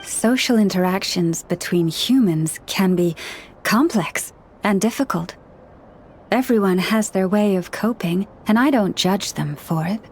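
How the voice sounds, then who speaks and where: A woman voicing a gentle, earnest young woman who counsels animals in a video game, speaking in a measured, formal way. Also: mid-range voice, slight background noise, lightly acted. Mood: reflective, earnest. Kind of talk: video game character dialogue. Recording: studio voice over background music.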